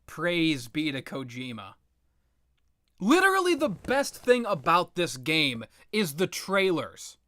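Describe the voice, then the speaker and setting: A man with a slightly high voice talks off the cuff about video games. He is blunt and sardonic and swears freely. The sound is close and dry, with very little room in it. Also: huge pitch swings, takes it slowly, fairly bright tone, dry recording. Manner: blunt, sardonic, profane ranting. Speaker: video game commentator, host. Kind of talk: casual unscripted opinion commentary on video games.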